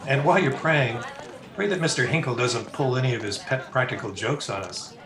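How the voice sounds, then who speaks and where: A man's voice: medium pitch, brisk, close to an everyday voice. An actor playing a wry young man who reassures his sweetheart with folksy wisecracks in an old-time radio drama. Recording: roomy echo with party chatter.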